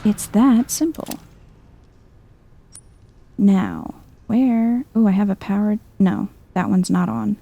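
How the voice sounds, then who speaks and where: A soft-spoken woman giving chill ASMR video game commentary, musing to herself as she plays. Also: mid-range voice, slow, creaky, long pauses, slight background noise.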